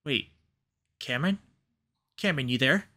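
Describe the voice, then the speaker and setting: A man's voice: mid-range voice, expressive, normal speed, pushed and bright. A man voicing an anxious, exhausted young man in a visual novel, with dramatic, emotive, pleading delivery. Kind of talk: visual novel read-aloud.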